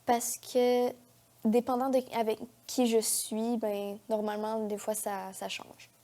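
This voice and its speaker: Mellow, high-voiced Quebec French teenage girl. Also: little pitch movement, a little slow. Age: teens. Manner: youthful informal talk peppered with "genre" and "comme". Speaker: guest, young actress. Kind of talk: casual chat. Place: TV studio.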